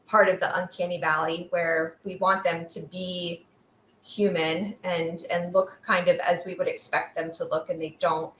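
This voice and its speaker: A woman's voice: fairly low pitch, pushed and bright, slight background noise. A woman speaking as a guest expert in an interview, explaining ideas in a conversational way. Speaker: guest expert, fear sociologist. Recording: roomy, echoey sound.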